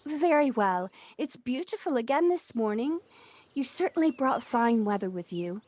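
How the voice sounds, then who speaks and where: A woman's voice: on the high side, animated, moderate pace, a little mellow. A woman acting a cheerful, friendly, sociable neighbour in an audio play recorded at home. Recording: home recording on personal device, faint noise.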